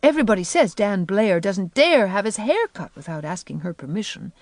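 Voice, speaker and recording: A woman's voice: medium pitch, very animated, brisk, no echo, studio quality. A woman voicing a gossipy, matter-of-fact teenage girl with a wry manner, in an audiobook. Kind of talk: audiobook character voice.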